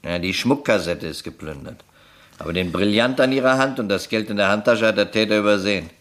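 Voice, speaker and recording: A shrewd, sceptical investigator played by a male actor in a radio crime play. His voice is deep and his delivery dry. He probes and questions everything. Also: brisk, a bit bright, natural voice. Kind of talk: German radio crime drama acting.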